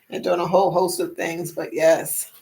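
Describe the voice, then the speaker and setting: Gravelly older woman hosting a chatty livestream. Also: fairly low pitch. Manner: chatty, laughs often, repeats phrases. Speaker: livestream host. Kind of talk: casual live chat reading viewer comments.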